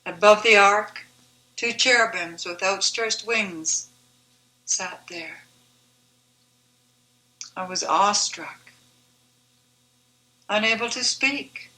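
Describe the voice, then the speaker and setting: A woman reciting her own devotional poem in a measured, deliberate, reverent manner. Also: mid-range voice, pushed and bright, poor audio. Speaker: author reading own poem.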